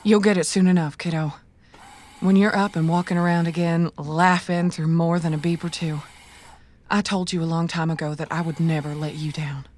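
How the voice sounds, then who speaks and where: A woman acts as a motherly guardian in a cyberpunk radio play, affectionate with a scheming streak. Her voice sits a little low. She speaks in soothing, reassuring phrases. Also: steady tone, normal speed, darkish tone, natural voice. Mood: tender, reassuring. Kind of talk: cyberpunk audio drama acting.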